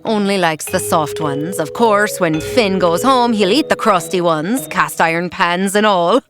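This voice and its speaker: A woman playing a giant's clever wife in a storybook drama, doting sweetly and teasing in a deadpan way. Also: on the low side, a little slow, over music, lightly acted. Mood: doting, fussing.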